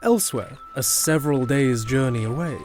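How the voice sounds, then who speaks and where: A man narrates a fantasy adventure drama from a script. His voice sits at a middle pitch, with music clearly playing behind the narration. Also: normal speed, a little mellow.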